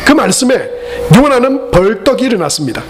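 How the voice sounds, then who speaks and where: A man's voice: very high voice, very animated, fairly quick. A man from the region of Korea, speaking with its as a pastor, preaching a Sunday sermon with joking asides to the congregation. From Gyeongsang region, Korea. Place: church sanctuary.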